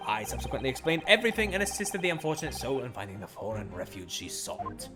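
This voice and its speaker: A streamer voicing an eccentric, pompous male detective in a put-on British accent, low and drawling, over game music. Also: very animated, quick pace, close to an everyday voice. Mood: smug, explaining.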